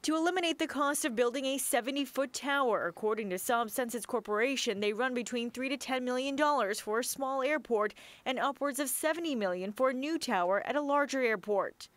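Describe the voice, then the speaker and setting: A woman's voice: high voice, a little fast, hardly any pauses, no echo. A woman with an American accent reading scripted news narration as a reporter. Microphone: close studio voice-over mic.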